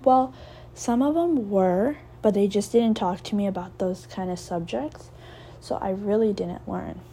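A young woman in her twenties talks slowly and reflectively in a casual podcast, often restarting her thoughts. Her voice is mellow and soft-edged. A faint hiss sits under the recording. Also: mid-range voice. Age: 20s. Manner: rambling, reflective, many fillers and restarts. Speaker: podcast host. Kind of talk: casual solo podcast talk.

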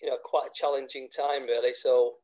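A man's voice: mid-range voice, level tone, brisk, darkish tone. A man in his thirties, a teacher with a cold and a sore throat, answering interview questions hesitantly with many restarts. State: congested with a cold.

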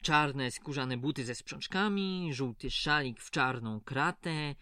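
A folksy, slightly patronising prison clerk in a radio play. His voice is sharp and forward, and he takes his time with words. The recording is close and dry. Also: medium pitch, slow pace, no echo. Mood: businesslike. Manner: familiar, patronising, colloquial. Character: chatty, folksy male prison clerk, mildly condescending. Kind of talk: audio drama acting.

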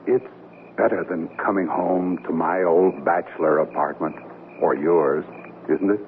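A man's voice: low-pitched, steady tone, a little slow. A man playing an easygoing, romantic middle-aged newlywed husband who jokes breezily in a radio comedy. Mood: cheerful, reassuring. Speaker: actor. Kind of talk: 1970s radio comedy acting. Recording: narrowband 1970s radio recording, faint hiss.

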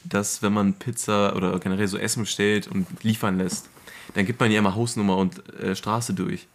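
A man's voice: on the low side, little pitch movement, brisk. A young man hosting a podcast in casual, slangy, colloquial chat among friends. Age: young adult. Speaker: podcast host.

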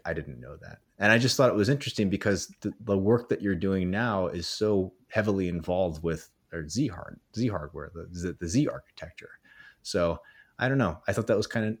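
A young man interviewing a colleague in a casual, rambling way, full of fillers and restarts. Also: low-pitched.

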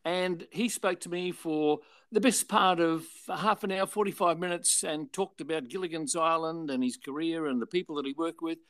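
An older Australian man, a former radio and television presenter, telling anecdotes in a relaxed interview and acting out the people he quotes. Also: on the high side, normal speed, few pauses, dry recording. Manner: chatty, animated retelling with voiced quotes.

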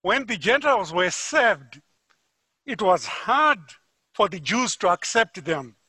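A Zimbabwean man delivers a sermon in a high, bright voice. He speaks slowly and deliberately and builds up emphasis on his key points. The sound is close and dry. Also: sing-song, husky, no echo. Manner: measured, deliberate preaching that rises with emphasis. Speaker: guest preacher. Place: church.

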